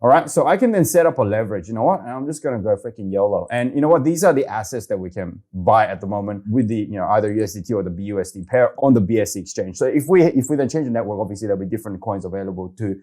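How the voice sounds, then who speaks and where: A man from Korea, hosting a casual, excitable screen-share walkthrough of a website and saying "okay" a lot. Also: on the low side, rapid-fire, hardly any pauses. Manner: excitable, chatty, says okay often. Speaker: crypto video host.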